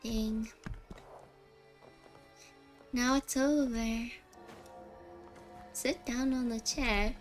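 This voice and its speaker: A woman's voice: fairly high pitch, fairly even intonation, extremely slow, pauses often, faint music behind. A woman livestreaming a game with casual chat, gushing and giggly as she reacts aloud. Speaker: streamer.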